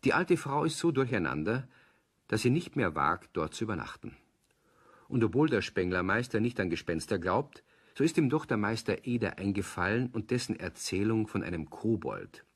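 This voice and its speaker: A man narrating a scripted German children's radio play as its storyteller, with a husky voice. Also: on the low side, big pitch swings, moderate pace, heavily acted.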